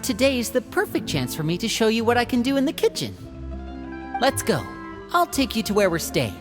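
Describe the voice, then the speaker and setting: A woman voicing a charming young man in a fan dub; he is polite, gently teasing and hospitable toward guests. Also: medium pitch, lightly acted. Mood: welcoming. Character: charming young man, a smooth big brother.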